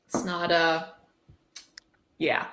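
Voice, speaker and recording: Sardonic woman in an echoey room, bright voice. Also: low voice, completely flat delivery, extremely slow. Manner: sarcastic, wry asides. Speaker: host reading a book aloud with commentary. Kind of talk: casual livestream dramatic reading with commentary. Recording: roomy, echoey sound.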